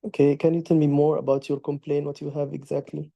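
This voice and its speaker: A man's voice: fairly high pitch, level tone, quick pace, creaky, no echo, highs cut off. A man playing a doctor taking a patient's history, polite and formal, with slightly awkward phrasing. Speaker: doctor in practice consultation. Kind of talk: role-played clinical history taking.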